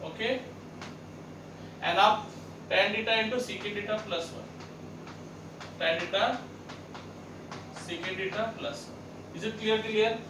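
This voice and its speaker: A man's voice: high-pitched, measured pace, long pauses, recorded from a distance. A man teaching a math lesson, walking students through each step with repeated questions. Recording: echoey room, voice set back from the mic, background noise.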